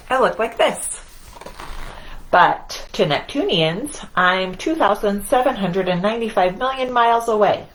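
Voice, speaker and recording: A woman's voice: on the high side, fairly bright tone, exaggerated voice, low-quality recording. A woman voicing a cocky, self-important Sun in a children's picture-book read-aloud, bragging with theatrical emphasis.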